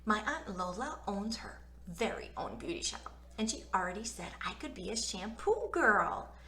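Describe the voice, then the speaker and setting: A woman voicing an eager, friendly little schoolgirl in a storybook, with an excited, childlike manner. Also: mid-range voice, moderate pace, echoey room.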